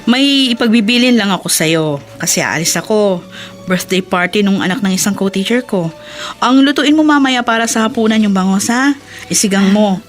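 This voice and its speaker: A woman acting in a Filipino radio drama as a kindly, trusting married teacher who runs a household, gentle but firm. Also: mid-range voice, animated, normal speed, faint music behind, close to an everyday voice. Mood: kindly, brisk.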